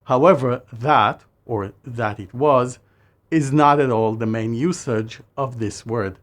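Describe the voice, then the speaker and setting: Guy explaining words, measured scripted narration, slows down on the bits. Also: medium pitch. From Israel. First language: Hebrew. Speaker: language teacher.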